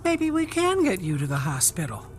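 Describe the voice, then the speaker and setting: A woman playing a calm, practical, self-reliant middle-aged mother in an audio drama. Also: medium pitch, moderate pace, faint music behind. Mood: practical, reassuring.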